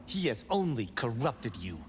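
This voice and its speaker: Defiant warrior, lively pitch, confident, challenging, game drama, reverberant, faint noise. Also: male voice, a little slow. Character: proud, defiant male warrior of noble lineage. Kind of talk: dramatic fighting-game acting.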